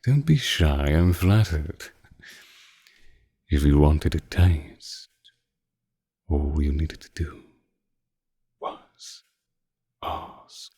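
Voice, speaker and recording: A man's voice: rumbling low, expressive, mellow, heavily acted. A man playing a seductive, possessive ancient vampire in an intimate audio roleplay, commanding and teasing, sometimes sinking into whispers. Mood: brooding, seductive. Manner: slow, teasing, commanding, breathy drops into whispers. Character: seductive, predatory male vampire, ancient but youthful-looking, possessive.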